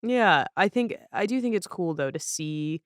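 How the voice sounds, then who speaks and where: A woman's voice: lowish voice, lively intonation, normal speed, no echo, clean studio sound. A woman hosting a casual podcast interview, speaking in a reflective, agreeable and informal way.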